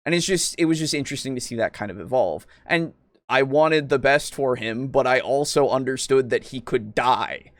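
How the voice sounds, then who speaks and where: A man talks casually as a podcast co-host, in a mid-pitched voice. He thinks aloud, hesitant and rambling. The sound is very dry, with little trace of a room. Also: moderate pace, no room sound. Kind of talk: casual discussion of roleplaying games.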